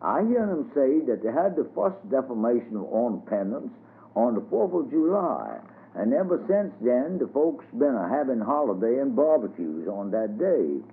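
A man's voice: medium pitch, sing-song, normal speed, pitched above the performer's own voice. A man voicing a good-natured old wagon driver who fumbles big words, on a tinny radio broadcast. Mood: folksy. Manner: folksy, mixes up big words. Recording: tinny phone-like radio sound.

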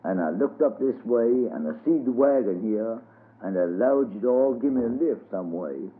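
A man voicing a very old, frail man who rambles gently, on a tinny radio broadcast. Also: mid-range voice, animated, close to an everyday voice. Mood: rambling. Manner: slow, rambling old-timer talk. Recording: tinny phone-like radio sound.

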